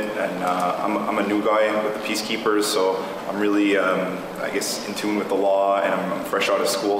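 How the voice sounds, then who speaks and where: Man, lowish voice, plain-spoken, informal meeting speech, heavy hall echo, noisy. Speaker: new peacekeeper officer presenting a proposal. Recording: very echoey hall, clear background noise.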